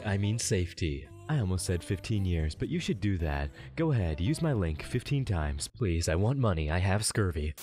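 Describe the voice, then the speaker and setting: A man's voice: low-pitched, a little mellow. A man narrating a scripted comedic sponsor read as channel host, tongue-in-cheek.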